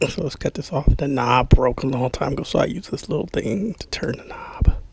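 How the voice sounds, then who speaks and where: A man with a husky voice and a creaky edge chats casually through a product demo. He is informal and folksy, half talking to himself as he handles things in a small room. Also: medium pitch, lively intonation, a little fast, whispered. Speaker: vlogger showing an unboxing. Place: small carpeted room indoors.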